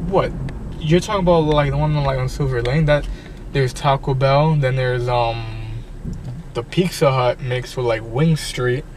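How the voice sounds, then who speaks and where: A man's voice: mid-range voice, unhurried. A young man driving, bantering with his girlfriend in a slangy, joking, boastful way, picked up by a phone held from the passenger seat. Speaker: vlogger's boyfriend, driving. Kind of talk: casual banter. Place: car, driver's seat. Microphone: phone camera held by passenger. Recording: car noise in background.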